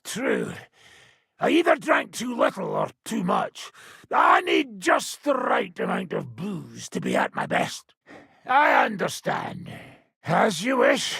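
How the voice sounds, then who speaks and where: Raspy British swaggering swordsman. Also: sits high, sharp tone, no room sound, clean studio sound, full character voice. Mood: loud, winded banter. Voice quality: gravelly, raspy. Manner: swaggering, rough, teasing. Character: boisterous hard-drinking male mercenary swordsman, cocky and crude. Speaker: combat trainer. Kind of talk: video game character dialogue.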